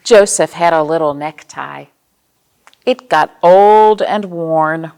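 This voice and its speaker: Slow, creaky-voiced American woman reading to children. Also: low voice. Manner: expressive, simple explanations with questions to young listeners. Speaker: children's librarian, storytime host. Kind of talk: read-aloud storytime for young children.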